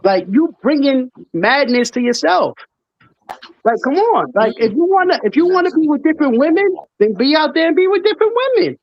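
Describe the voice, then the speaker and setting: High-voiced slangy man on a panel. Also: very animated, fairly quick, muffled recording. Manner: slangy, emphatic, repeats himself for effect. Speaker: call-in panelist. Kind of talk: casual opinionated panel chat.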